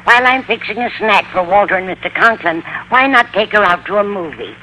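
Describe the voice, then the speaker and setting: Casting: fussing, kindly older American landlady, bright animated delivery, vintage radio comedy. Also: mid-range voice, a little fast. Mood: chatty, nosy. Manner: motherly, chatty. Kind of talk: 1950s radio comedy acting. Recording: faintly noisy vintage radio recording.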